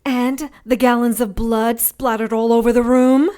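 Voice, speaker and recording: High, deliberate young witch, played by a woman, indignant and exasperated. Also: little pitch movement, warm tone, close to an everyday voice. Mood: scolding. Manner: clipped, indignant, scolding. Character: sharp, rational young witch, exasperated schemer. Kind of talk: audio drama acting.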